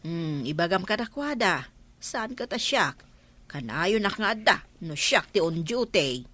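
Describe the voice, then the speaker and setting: A woman's voice: lowish voice, sing-song, a little slow, fairly bright tone, full character voice. A woman with an Ilocano accent playing an indignant, dutiful village councilor in a comedic radio drama, protesting emphatically.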